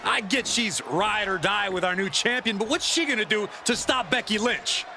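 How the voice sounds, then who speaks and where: A man's voice: high-pitched, normal speed. A man playing an opinionated, cynical wrestling color commentator in a video game, making pointed, emphatic remarks. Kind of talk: live sports commentary. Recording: noisy arena crowd behind commentary.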